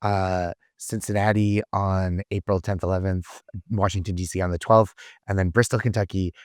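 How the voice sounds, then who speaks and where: A man's voice: low-pitched, little pitch movement, normal speed, no echo, clean studio sound. An American man chatting casually as a comedy podcast guest, with a self-deprecating manner.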